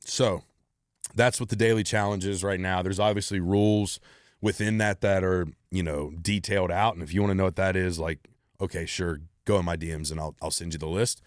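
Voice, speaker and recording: A very low-voiced man hosts a solo Q&A podcast. He talks in a blunt, driven way and swears freely. The sound is close and dry, with no room echo. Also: fairly even intonation, fairly quick. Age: 30s. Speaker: podcast host.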